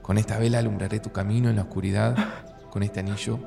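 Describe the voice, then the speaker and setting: An actor with an Argentine accent playing a frazzled, dramatic groom in an audio drama, harried in manner. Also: male voice, lowish voice, little pitch movement, fast, vocal fry, warm tone, faint music behind. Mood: solemn, tender. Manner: harried, stammering. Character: frazzled, dramatic groom on his wedding day. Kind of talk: Spanish-language audio fiction acting.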